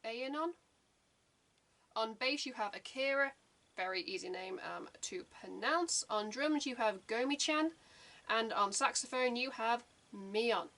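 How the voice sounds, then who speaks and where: A young woman talks through her reaction in a loose, rambling way, full of fillers. Her voice sits a little high and sounds bright and forward. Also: lively intonation, unhurried. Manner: rambling, chatty, many fillers. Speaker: reaction channel host. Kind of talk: casual reaction commentary.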